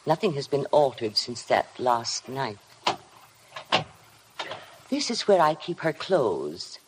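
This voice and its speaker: An actress playing a sinister, obsessive housekeeper in a 1950s radio drama, formal, insinuating and hypnotic in manner. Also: female voice, extremely low pitch, sing-song, slow pace, heavily acted. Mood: eerie, insinuating. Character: sinister, obsessive housekeeper, coldly devoted to her dead mistress. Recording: vintage 1950 radio broadcast, faint noise.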